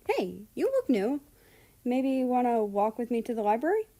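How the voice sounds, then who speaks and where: A woman voicing a friendly, energetic schoolboy who talks in an eager, casual way. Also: fairly high pitch, normal speed, voice pushed up. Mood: sheepish, eager. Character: cheerful, chatty, friendly schoolboy, short and energetic.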